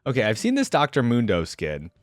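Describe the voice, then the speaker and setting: A man's voice: medium pitch, huge pitch swings, a little fast, no echo, studio quality. A man giving casual, informal reaction commentary on game character skins, thinking aloud as he goes. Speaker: reaction video host.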